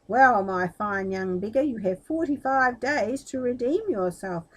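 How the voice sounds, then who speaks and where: A woman's voice: on the high side, lively intonation, a little slow. A woman voicing a furious old Sultan in a storybook, scolding and imperious as he threatens. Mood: angry, threatening.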